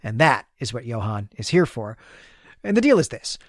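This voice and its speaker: A man's voice: medium pitch, big pitch swings, moderate pace, no echo, studio quality. A man co-hosting a podcast, recapping a story in a conversational, explanatory way with enthusiastic, chatty asides. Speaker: podcast co-host.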